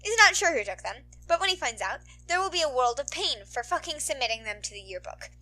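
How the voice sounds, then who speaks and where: A woman's voice: high-pitched, animated, brisk, sharp tone. A woman podfic narrator who talks in an informal, playful way. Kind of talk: animated story narration and casual outro chat.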